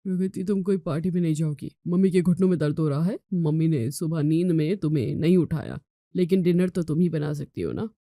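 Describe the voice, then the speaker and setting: An exasperated young elder brother from a family story, played by a woman, low in pitch and dark in tone. He nags and scolds sternly, with a sarcastic edge. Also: brisk, dry recording, clean studio sound, close to an everyday voice. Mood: scolding.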